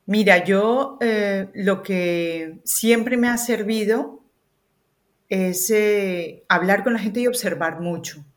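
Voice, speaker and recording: A woman with a Colombian accent, a communication coach, talking through her work in a relaxed podcast interview with the odd hesitant filler. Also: mid-range voice, takes it slowly. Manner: articulate, explanatory, with hesitant fillers.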